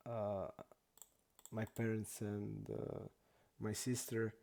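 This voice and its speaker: A young man from Croatia, not an English speaker, chatting casually and haltingly while streaming poker. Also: on the low side, little pitch movement, vocal fry, dark tone. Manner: halting non-native English, many restarts and fillers.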